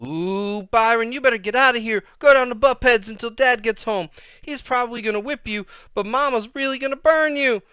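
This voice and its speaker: A man's voice: high-pitched, steady tone, unhurried, few pauses, bright, forceful tone, clear, resonant tone, dry recording, full character voice. A man voicing a scared young boy, nervous and urgent as he pleads, in a storybook reading. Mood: urgent warning.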